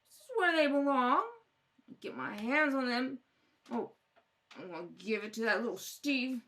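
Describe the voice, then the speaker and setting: A woman's voice: a little high, normal speed, fairly bright tone. A woman playing a scornful, threatening mother with slangy speech in a radio crime drama. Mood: angry, scornful. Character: belligerent, slovenly, hard-bitten mother, scornful of her children.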